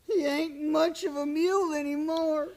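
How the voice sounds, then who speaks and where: A woman acting a simple, gentle, wronged drifter in a Western radio play, plaintive, childlike and slow-spoken. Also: sits high, fairly even intonation, natural voice. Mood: forlorn. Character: simple, gentle, wronged Yankee man with mule and guitar.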